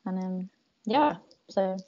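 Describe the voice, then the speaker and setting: A woman's voice: mid-range voice, expressive, takes it slowly, creaky, mellow. A young woman whose first language is Croatian, chatting as a podcast guest, laughing often between hesitant, filler-filled phrases. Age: young adult. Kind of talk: casual interview chat. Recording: dull lo-fi podcast audio.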